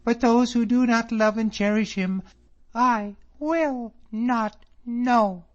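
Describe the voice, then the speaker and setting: A man putting on a proud, sharp-tongued young lady. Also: unhurried. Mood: cold, indignant. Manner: high falsetto-like put-on voice. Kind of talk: audiobook character voice. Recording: slightly muffled recording.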